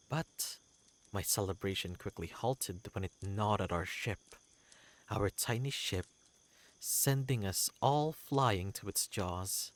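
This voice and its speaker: Eccentric wandering creature, male performer, fantasy game. Proud, courteous, theatrical storyteller; unhurried, with big leaps in pitch. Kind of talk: character voice in a fantasy game reading.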